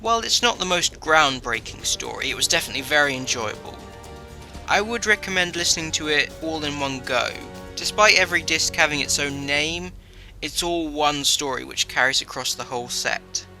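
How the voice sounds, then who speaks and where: Male reviewer, scripted narration, very sharp forceful tone, medium pitch, faint music. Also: expressive, normal speed.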